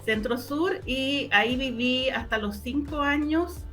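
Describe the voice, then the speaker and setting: A woman's voice: high voice, a little slow, fairly bright tone, faint music behind. A middle-aged woman with a Chilean accent from the O'Higgins region, reminiscing casually as an interview guest, often repeating her words as she tells stories.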